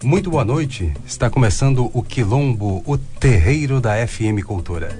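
A man with a southern Brazilian accent hosting a radio interview in the studio, friendly and measured, with some hesitant restarts. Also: medium pitch, expressive, over loud music. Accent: southern Brazilian (Rio Grande do Sul). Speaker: radio host.

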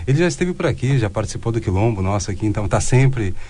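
A man with a southern Brazilian accent hosting a radio interview in the studio, friendly and measured, with some hesitant restarts. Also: lowish voice, big pitch swings, mellow, husky. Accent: southern Brazilian (Rio Grande do Sul). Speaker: radio host.

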